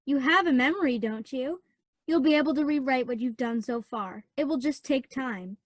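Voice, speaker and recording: A woman's voice: sits high, moderate pace, dry recording. A woman playing a spiteful, bratty teenage girl in a radio play, speaking in a snippy, scornful way. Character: Amy, spiteful bratty teenage younger sister.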